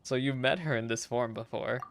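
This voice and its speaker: A young woman giving casual, excitable gaming reaction commentary with teasing slang. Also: extremely low pitch, normal speed. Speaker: streamer reacting to a game.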